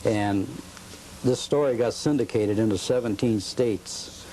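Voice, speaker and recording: A man answering interview questions at home about his collecting, in a relaxed and somewhat halting, rambling way. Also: on the low side, slight background noise, poor audio.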